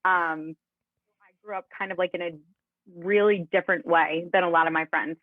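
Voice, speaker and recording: A woman's voice: lowish voice, fairly even intonation, normal speed, no room sound. A woman chatting casually as a co-host, her voice coming through thin, phone-like audio. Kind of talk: casual chat.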